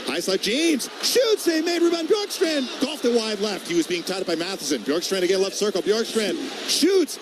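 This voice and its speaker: A man's voice: sits high, animated, normal speed, hardly any pauses, background noise. A man doing live radio play-by-play of ice hockey in short, clipped running calls.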